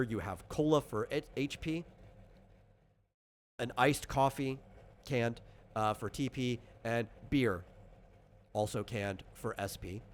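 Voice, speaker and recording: Man, average pitch, game master, casual rules talk, thinks aloud. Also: a little hiss or hum.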